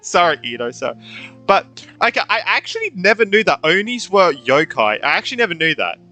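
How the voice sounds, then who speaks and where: A man's voice: high voice, sing-song, fairly quick, sharp tone, soft background music. A young man doing casual let's play commentary, slangy and thinking aloud as he reacts to a game's story. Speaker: gamer playing through a story quest.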